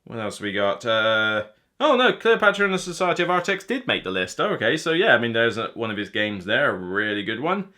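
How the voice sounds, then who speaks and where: A man's voice: medium pitch, very animated, fairly quick, bright, forceful tone. A man in his thirties talking casually and unscripted to camera as a board game reviewer, rambling and chatty with a self-deprecating streak. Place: small room lined with board game shelves, indoors.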